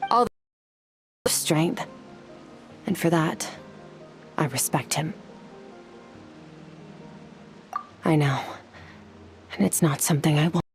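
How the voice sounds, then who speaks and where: Stoic young swordswoman for a game: low register, solemn and restrained, light music beneath. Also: measured pace, pauses often. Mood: guarded respect. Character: stoic young female swordswoman haunted by her past. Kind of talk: video game voice acting, dramatic monologue.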